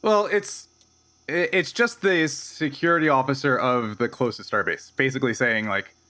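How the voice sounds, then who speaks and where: A man working as game master, narrating a tabletop roleplaying game in an informal, halting way. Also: medium pitch, sharp tone.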